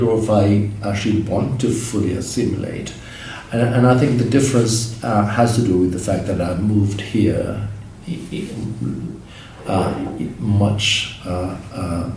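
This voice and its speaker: A man from Morocco speaking reflectively in a documentary interview, halting with many restarts. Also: fairly low pitch, dark tone. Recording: very echoey, distant mic, faint noise.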